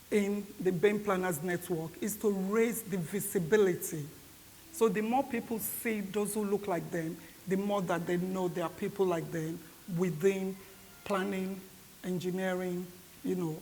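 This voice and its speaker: A woman's voice: on the low side, a little mellow. Older Nigerian-accented woman in echoey hall. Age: 50s or older. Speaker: conference panellist. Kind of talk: panel discussion remarks. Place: reverberant indoor event hall. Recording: echoey hall with clear background hiss.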